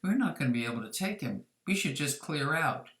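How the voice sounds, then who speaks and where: A woman's voice: deep voice, big pitch swings, brisk, echoey room, far from the mic. A woman voicing agitated male gang thugs in a crime story reading.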